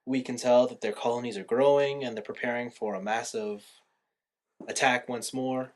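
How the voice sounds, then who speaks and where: A man's voice: medium pitch, steady tone, normal speed. A man hosting a podcast, chatting casually and explaining things in an informal way about a movie. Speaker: podcast host. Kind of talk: casual movie review chat.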